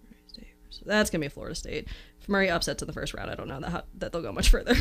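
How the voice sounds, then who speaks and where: A woman's voice: lowish voice, lively intonation, very fast, whispered, soft background music. A woman chatting casually on sports radio, playful and hesitant, with many fillers. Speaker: guest filling out a bracket. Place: radio studio.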